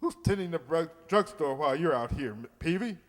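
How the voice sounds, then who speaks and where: A man's voice: fairly high pitch, expressive, a little fast, natural voice. An actor playing a pompous, flustered middle-aged water commissioner in an old-time radio comedy, blustering and hemming as he talks. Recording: reverberant hall, stage re-enactment.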